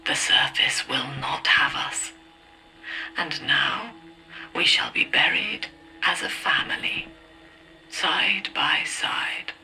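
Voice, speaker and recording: Sanctimonious female cult matriarch, very slow, sharp piercing tone, breathy menace, game villain. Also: deep voice, whispered, faint music behind, full character voice, poor audio. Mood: grave menace. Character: cold, controlling cult leader mother, menacing and sanctimonious. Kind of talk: dramatic video game villain acting.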